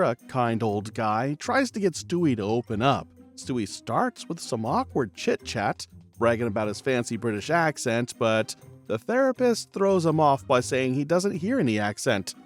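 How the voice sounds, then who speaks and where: Guy narrating cartoon recaps, lively voice, light music under. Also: mid-range voice, normal speed. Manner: playful, chatty. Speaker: channel narrator.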